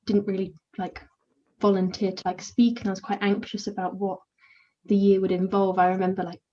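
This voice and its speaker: A young woman with a soft, soothing voice and a mellow, warm tone talks in a quiet, introverted way. She answers casually and hesitantly, filling gaps with many small fillers. The sound is dull and lo-fi, like audio from a video call. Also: medium pitch, level tone, brisk, a little hiss or hum. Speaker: volunteer mentor.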